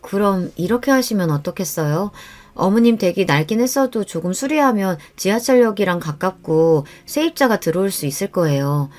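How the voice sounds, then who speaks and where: A woman's voice: mid-range voice, lively intonation, moderate pace, a little mellow, natural voice. A woman playing a polite young daughter-in-law, deferential and tactful, in a radio story drama. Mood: polite, pleading. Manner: deferential, careful.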